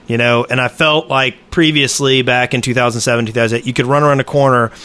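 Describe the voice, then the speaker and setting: Casting: male podcast co-host, average pitch, bright forceful tone, informal talk. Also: fairly even intonation, fast talker, clean tone. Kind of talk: casual chat.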